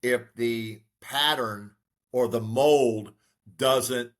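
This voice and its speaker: A man in his sixties, a real estate broker, telling his life story as an interview guest in a steady, reflective way with frequent fillers. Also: medium pitch, drawn-out words. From United States.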